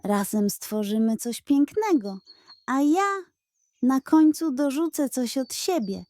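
A woman's voice: high-pitched, very animated, slow, dark tone, no echo, voice pushed up, exaggerated voice. A woman voicing a cunning, pompous male pug who declaims proudly in a children's audio story. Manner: theatrical, proud, solemn.

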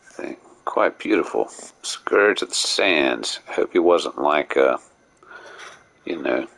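A man with a gravelly voice streaming a game, musing aloud in a halting way and reading the text. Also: very deep voice, animated, fairly bright tone. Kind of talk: casual live game commentary and voicing characters.

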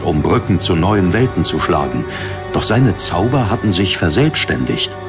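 Deep voiced guy narrating a fantasy audiobook, dramatic, music pretty loud behind him.

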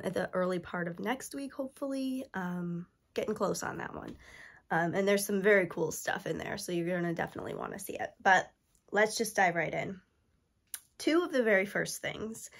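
A woman's voice: medium pitch, vocal fry. A woman in her thirties, stuffy from allergies, chatting eagerly to camera about thrift finds with lots of ums. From New York State. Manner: chatty, enthusiastic, many ums. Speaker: thrift haul vlogger. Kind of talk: casual chat to camera. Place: indoor room with toy shelves.

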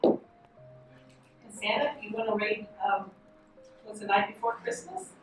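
A woman's voice: medium pitch, animated, measured pace. An older woman playing Mrs. Claus, chatting casually as a storytime co-host. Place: indoor fireside Christmas set. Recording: echoey, distant, music behind.

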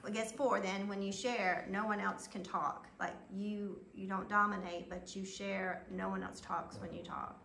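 A woman's voice: medium pitch, fairly even intonation, normal speed, creaky, hardly any pauses, fairly bright tone. A woman participant in a Bible study, explaining casually in a group discussion. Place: reverberant room at a group meeting. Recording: echoey meeting room, faint background noise.

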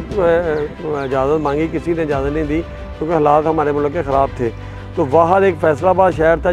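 A middle-aged Pakistani groundsman with a slightly high voice, sitting out on the field for a sports documentary interview, music playing underneath. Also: darkish tone. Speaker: stadium caretaker being interviewed. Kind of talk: informal interview to camera. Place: outdoors on a cricket stadium field. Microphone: lapel mic. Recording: music behind the voice.

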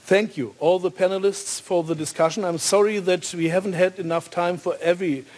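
A man with a German accent speaking off the cuff on a panel, in halting phrases with frequent pauses. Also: high-pitched, steady tone, a little mellow. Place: large hall stage at a symposium.

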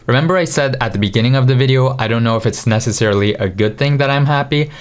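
A man in his thirties talking frankly and self-mockingly to camera in a small room at night, into a mic on a boom. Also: fast talker. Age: 30s. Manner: frank, self-deprecating, conversational. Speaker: vlogger. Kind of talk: candid confessional monologue. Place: dim small bedroom at night. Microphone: studio mic on boom in front.

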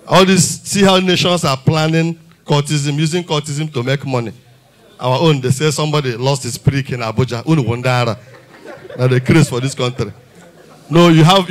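Nigerian man, stand-up comedian, slightly high voice, animated, handheld mic on stage. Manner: animated, mixes Pidgin and English, rhetorical questions. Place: large theater stage with audience.

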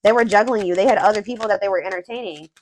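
A woman's voice: mid-range voice, racing, a little hiss or hum. Chatty woman reading tarot aloud. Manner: thinking aloud, many fillers and restarts. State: voice going hoarse. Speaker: tarot reader. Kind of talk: casual tarot reading narration.

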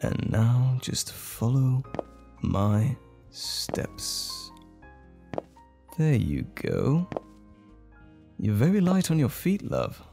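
Man acting as a slow-spoken, bashful romantic date. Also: mid-range voice, huge pitch swings, creaky, warm tone, exaggerated voice. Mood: tender, flirty. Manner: tender, hesitant, lightly chuckling flirtation. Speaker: voice actor playing a date. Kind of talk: romantic ASMR roleplay acting. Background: music.

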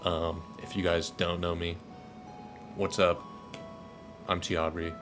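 A young man from Dallas, Texas, a videographer, talking casually to camera in a monotone, rambling way with lots of ums. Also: low voice, takes it slowly, soft background music. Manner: laid-back, rambling with many ums. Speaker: videographer and channel host. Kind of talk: casual thank-you vlog to camera. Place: small pink-lit bedroom, indoors.

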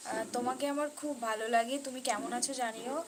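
A woman with a fairly high voice hosts a casual live stream. She talks off the cuff, circling back over her phrases and dropping in English words. Clear background noise runs through the recording. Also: fairly even intonation, quick pace. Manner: repetitive, mixes in English words. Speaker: live stream host reading and answering viewer comments.

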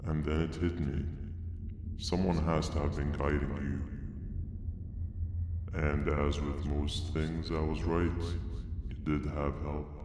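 A man playing a pompous, menacing, coldly calculating monster villain in a video game, with a deliberate delivery. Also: rumbling low, exaggerated voice. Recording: echoey hall with long reverb, noisy.

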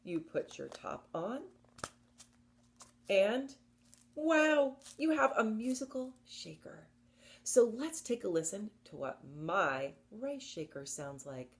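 A woman in her fifties presenting story time for young children, expressive and encouraging, often putting questions to her listeners. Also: medium pitch, slight background noise. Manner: expressive, encouraging, asks listeners questions, slow deliberate delivery. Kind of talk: children's story time with rhymes and picture book reading. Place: indoors, small book-lined room at home.

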